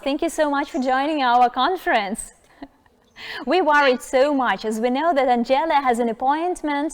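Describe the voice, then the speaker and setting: Woman moderator in a reverberant room. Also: a little high. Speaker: conference moderator. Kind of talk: hosting a conference session. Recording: long reverb.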